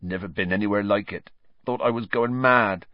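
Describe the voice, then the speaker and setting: A man's voice: on the low side, moderate pace, no room sound, close to an everyday voice. A man doing a sobbing giant gamekeeper. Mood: gloomy. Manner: sobbing, broken rural dialect. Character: huge grieving male gamekeeper, tearful and gruff. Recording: dull lo-fi audio.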